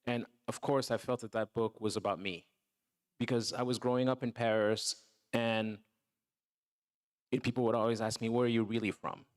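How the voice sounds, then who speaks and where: A man's voice: medium pitch, little pitch movement, normal speed, long pauses. A man born in Togo, a film producer and guest on a panel, answering reflectively and often adding "you know" and "right". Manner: thoughtful, repeats words when starting, says "you know" and "right".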